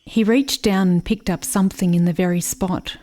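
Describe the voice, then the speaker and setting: An actress in a radio play playing a grieving, watchful country sister, narrating in a reflective, measured way. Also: female voice, lowish voice, steady tone, dark tone, lightly acted. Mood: wistful.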